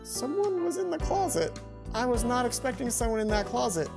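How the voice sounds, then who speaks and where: A man's voice: extremely high pitch, big pitch swings, a little fast, creaky. A young man hosting a let's play, reading a visual novel aloud and adding casual commentary. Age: young adult. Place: small indoor home room. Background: game music.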